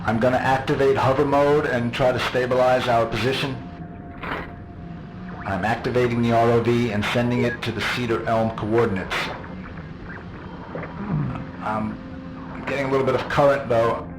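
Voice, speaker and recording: Focused pilot on radio: medium pitch, procedural, loud vehicle noise, echo. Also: male voice, fairly even intonation, normal speed, pauses often. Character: businesslike male mini-sub pilot reporting to the surface. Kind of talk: audio drama acting.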